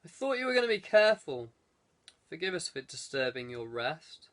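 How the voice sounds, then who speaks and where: A man's voice: on the high side, big pitch swings, normal speed, fairly bright tone. A man voicing a fussy, protective castle guide who explains things cautiously in an audiobook adventure. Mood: reproachful.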